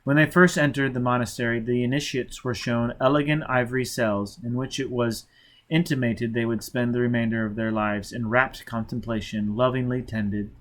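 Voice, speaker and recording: A man's voice: mid-range voice, fairly even intonation, moderate pace, a bit bright. A man reading a novel aloud as dramatic scripted narration. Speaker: audiobook reader.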